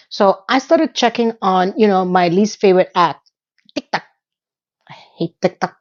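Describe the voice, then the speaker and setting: A woman's voice: medium pitch, dull audio. A woman in her fifties giving casual, gossipy commentary with plenty of asides and filler. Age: 50s. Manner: chatty, gossipy, with asides and little sung quips. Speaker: YouTube commentator.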